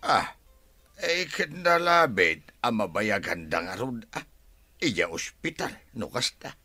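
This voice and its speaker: An actor playing a married older father in an Ilocano radio drama, with a raspy voice and an Ilocano accent. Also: mid-range voice, sing-song, slow, bright, forceful tone, no room sound, soft background music, heavily acted. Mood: exasperated, scolding. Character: married older father, forceful and easily exasperated.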